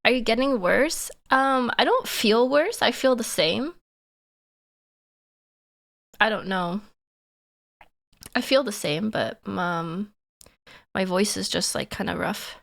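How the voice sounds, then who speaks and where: A young woman streamer chatting casually and playfully, giggling and teasing herself, her voice hoarse and stuffy from a cold. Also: medium pitch, slow, long pauses, a bit bright. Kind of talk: casual live-stream chat.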